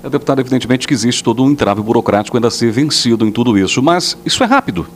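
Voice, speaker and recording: A man's voice: mid-range voice, expressive, very fast, a little hiss or hum. A man working as a local reporter, interviewing politicians with leading questions.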